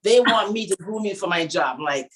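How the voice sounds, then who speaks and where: Woman telling a lively career story. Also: medium pitch, fairly quick. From Jamaica. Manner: animated storyteller who acts out quotes. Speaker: fashion designer guest. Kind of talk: storytelling in an interview.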